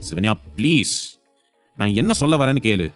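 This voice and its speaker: A man's voice: fairly low pitch, sing-song, moderate pace, soft background music. A man voicing an earnest young husband in an audio drama, pleading and placating. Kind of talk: audio serial drama acting.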